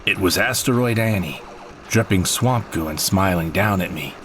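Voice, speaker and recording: A man's voice: sits low, animated, moderate pace, very noisy. A man narrating a first-person audio drama story in a dramatic storytelling manner. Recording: narration over loud score.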